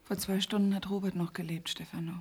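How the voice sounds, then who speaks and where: A woman's voice: medium pitch, little pitch movement, fast talker, a little mellow, breathy edge. An actress playing a distraught, defiant young woman in a German radio play, with vehicle noise behind her. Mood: grieving, subdued.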